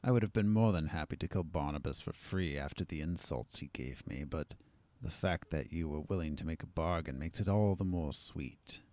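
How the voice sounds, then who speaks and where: A man playing a haughty, vengeful doctor in an audio drama, with a disdainful, theatrical, deliberate delivery. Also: very deep voice, dark tone. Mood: gloating. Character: haughty, vengeful male doctor, a sinister villain.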